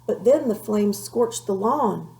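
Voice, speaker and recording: A woman reading a children's story aloud in an expressive, measured way, with a creaky voice. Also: medium pitch, poor audio. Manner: measured, animated read-aloud delivery. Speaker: storybook reader.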